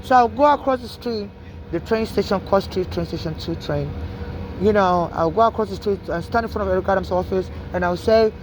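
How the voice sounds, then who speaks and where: A woman's voice: medium pitch, fairly quick, slight background noise, rough recording. A woman from Nigeria with a mixed Nigerian and American accent, talking urgently in a rambling street monologue full of restarts and "you know". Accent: Nigerian-American mix.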